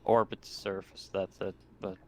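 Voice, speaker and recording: Low, gravelly man at a tabletop game. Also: level tone, moderate pace, darkish tone. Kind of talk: casual chat and in-character roleplay.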